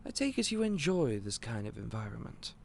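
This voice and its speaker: Winton, a man playing a flustered gentle shopkeeper. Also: medium pitch, sing-song, brisk, vocal fry, slight background noise. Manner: stammering, polite and old-fashioned. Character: gentle, clumsy, flustered male candy shop owner. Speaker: candy store owner. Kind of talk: audio roleplay acting.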